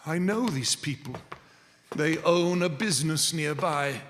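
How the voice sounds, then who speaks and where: An actor playing a frightened, repentant old miser, solemn and pleading, in live radio theater. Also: male voice, a little high, noisy background, lightly acted. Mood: fearful, solemn. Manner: slow, solemn, pleading delivery. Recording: hall reverb, loud music behind.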